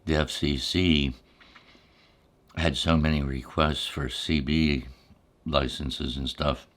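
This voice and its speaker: A middle-aged or older American man with a deep, low voice, reminiscing casually in a laid-back, rambling ASMR storytelling style with frequent pauses. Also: steady tone, fairly bright tone.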